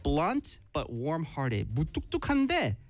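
Bilingual radio host, woman, animated swooping delivery, faint music bed. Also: low voice, drawn-out words. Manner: switches between Korean and English, laughs often.

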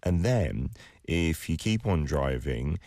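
Deep-voiced male radio host, dry sound. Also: expressive, slow pace, mellow, dry recording. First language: Korean. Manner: easygoing, chatty asides with fillers. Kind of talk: scripted news reading and casual on-air chat.

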